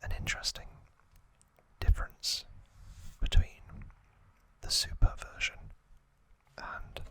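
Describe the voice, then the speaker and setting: A man hosting an ASMR video, narrating a recap in a soft, breathy, unvoiced whisper, in short phrases with pauses. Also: dry recording, low-quality recording.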